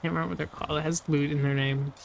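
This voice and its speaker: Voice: medium pitch, little pitch movement, racing, vocal fry, slight background noise. A young adult gamer talking loosely over gameplay, rambling, laughing often and swearing casually. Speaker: gameplay video creator.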